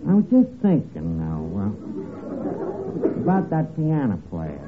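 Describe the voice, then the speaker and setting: An actor playing a scheming, penny-pinching tavern manager with a New York accent and rough, slangy diction, in a 1940s radio comedy. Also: male voice, wildly swooping pitch, a little slow, narrow, tinny audio, over a noisy crowd. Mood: scheming, blustery. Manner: wheedling, malapropism-prone, hesitating before each pitch. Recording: thin, noisy old radio broadcast recording.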